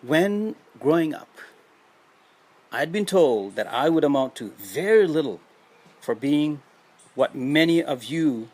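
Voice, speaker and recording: A man from Nepal with a Nepali accent and a husky voice, giving an earnest motivational speech with emphatic, deliberate phrasing and pauses. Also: fairly high pitch, expressive, a little mellow. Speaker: education activist, motivational speaker.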